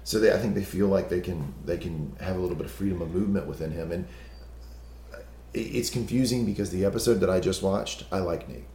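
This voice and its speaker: A man with a hoarse, gravelly voice, co-hosting a casual podcast that recaps a TV show, chatty and self-deprecating. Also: low-pitched, fast, mellow, reverberant, a little hiss or hum. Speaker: podcast co-host.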